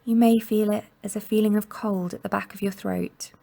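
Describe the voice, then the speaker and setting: A woman guiding a meditation in a gentle, soothing and unhurried manner, leaving long pauses between instructions. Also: medium pitch, fairly even intonation, gravelly. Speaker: mindfulness meditation guide. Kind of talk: guided body scan meditation narration.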